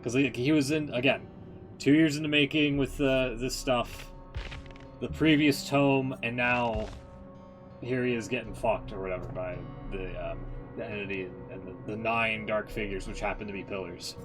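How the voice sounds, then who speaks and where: A man livestreaming casual, rambling commentary on a video game, opinionated, slangy and quick to swear. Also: mid-range voice, steady tone, faint music behind.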